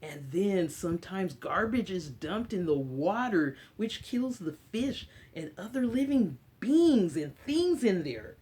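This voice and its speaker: A woman's voice: mid-range voice, very animated, slow, hardly any pauses, a little mellow, a little hiss or hum. An American woman hosting a children's story time, speaking in a teacherly way with simple short phrases and questions to her listeners. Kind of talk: story time talk and read-aloud for children.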